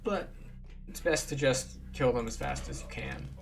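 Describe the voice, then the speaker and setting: A man's voice: medium pitch, huge pitch swings, moderate pace, creaky. A man giving casual, matter-of-fact gameplay commentary as he explains things like a tour guide. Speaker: game streamer and tour guide. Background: game music.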